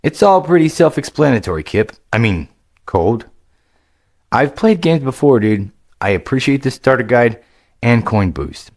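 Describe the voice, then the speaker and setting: A man's voice: mid-range voice, pitched above the performer's own voice. Greylick, a man doing an eager young gamer. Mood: excited. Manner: excited, quick upbeat exclamations. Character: young male gamer hero, eager and cheerful.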